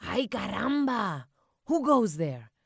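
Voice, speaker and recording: A woman's voice: medium pitch, sing-song, slow, gravelly, slightly rough, dry recording, clean studio sound, pitched above the performer's own voice, exaggerated voice. Woman voicing a bold little kitten sword fighter, theatrical. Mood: bold, playful. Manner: theatrical, playful bravado with Spanish catchphrases. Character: small boy cat playing bold Chihuahua sword fighter.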